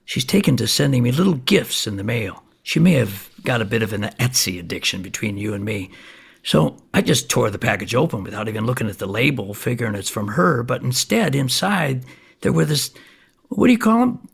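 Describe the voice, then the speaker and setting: An actor playing a rambling, folksy older man who uses drugs, telling his comic story in a hesitant, husky, gravelly voice. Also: mid-range voice, very animated, a little fast, full character voice. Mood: chatty, confiding. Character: rambling, shabby older neighbour man, a folksy drug user. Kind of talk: comic stage monologue.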